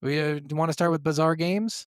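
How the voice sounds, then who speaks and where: Male co-host, slightly high-pitched, informal and profane conversational delivery, dry recording. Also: steady tone, fast talker, no room sound. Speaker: podcast co-host. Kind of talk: casual chat.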